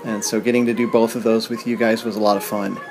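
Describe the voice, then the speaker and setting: A man's voice: lowish voice, level tone, fast, rough recording. A man, a podcast host, leaving a friendly, casual congratulatory message. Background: music.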